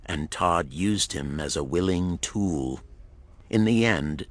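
A man's voice: sits low, dry recording. A man narrating a pulp thriller audiobook in a dramatic, scripted storytelling style.

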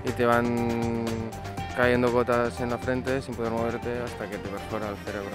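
A man's voice: mid-range voice, level tone, normal speed, music in the background. A young man answering matter-of-factly in an outdoor street interview. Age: young adult. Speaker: passerby interviewee. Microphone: handheld furry mic.

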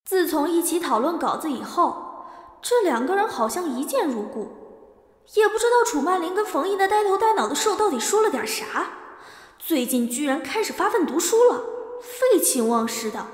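A woman playing a cheeky, teasing high-school girl in an amateur campus audio drama. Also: very animated, normal speed, big-room sound. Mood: wry.

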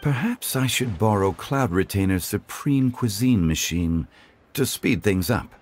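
A man voicing a calm, dignified male scholar in a game, speaking in a measured, formal way. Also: lowish voice, faint music behind. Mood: composed.

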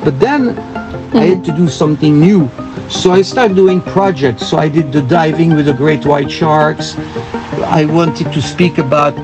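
High-voiced older Belgian man telling stories. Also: poor audio. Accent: French-speaking Belgian. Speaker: interview guest, performance painter. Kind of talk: casual storytelling interview. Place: small living area inside a converted bus. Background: music.

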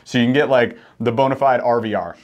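A man in his thirties co-hosting a casual chat, relaxed and quick to chime in with short agreements, recorded on a lapel mic in a small gear-filled room. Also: mid-range voice, dull audio. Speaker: podcast co-host. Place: small room full of fishing gear, indoors.

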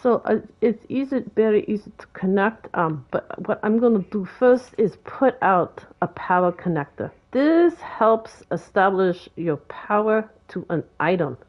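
A woman's voice: medium pitch, lively intonation, measured pace, hardly any pauses, dull audio. A woman with a Boston accent, giving rambling, chatty commentary for a game tutorial. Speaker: game video creator. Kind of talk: casual gameplay tutorial commentary.

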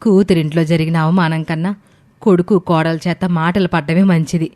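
A woman's voice: deep voice, fairly even intonation, normal speed, mellow, natural voice. A woman playing a proud, calculating older mother in a family moral story, stubborn and complaining.

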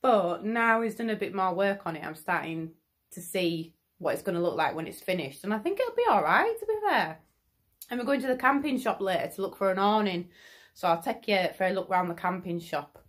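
A woman's voice: medium pitch, brisk, dry recording, clean studio sound. Chatty woman vlogging. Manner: chatty, informal, lively. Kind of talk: casual vlog chat. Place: home, then outdoor shop tent display. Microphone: phone held at arm's length.

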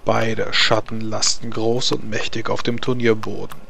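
A man's voice: on the low side, little pitch movement, a little slow, gravelly, bright, forceful tone. A man narrating a tabletop fantasy game as game master, reading scene descriptions.

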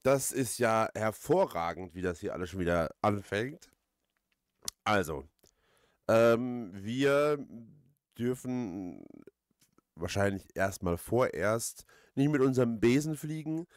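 A man's voice: lowish voice, animated, slow pace, dry recording, clean studio sound. A man giving casual let's play commentary as host, rambling hesitantly and repeating his phrases.

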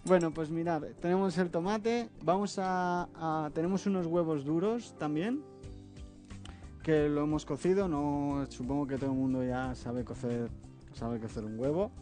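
A man with a slight Catalan accent demonstrating a recipe as a guest chef in a television kitchen. He explains each step patiently and often checks in with a quick "eh?". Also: fairly high pitch, dark tone. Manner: explains step by step, tags phrases with "eh?". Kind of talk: cooking demonstration. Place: TV studio kitchen. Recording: faint background music.

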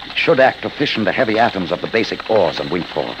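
Low-voiced, obsessed older inventor. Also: male voice, very animated, quick pace, highs cut off. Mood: intent, weary. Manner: intense, dramatic. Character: obsessed, weary older male inventor, desperately determined. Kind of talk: 1950s radio drama acting. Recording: very noisy 1950 radio broadcast.

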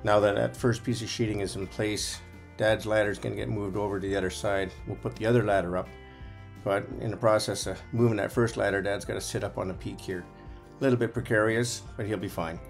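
A man's voice: fairly low pitch, fairly even intonation, brisk, gravelly, faint music behind. A man giving a casual, folksy running commentary as a vlog host, explaining as he goes.

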